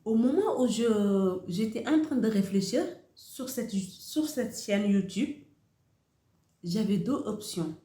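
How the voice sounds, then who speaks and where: Slow-speaking woman, echoey room. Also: mid-range voice, lively intonation, dark tone. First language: Wolof. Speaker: host of a motivational channel. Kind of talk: motivational talk to camera.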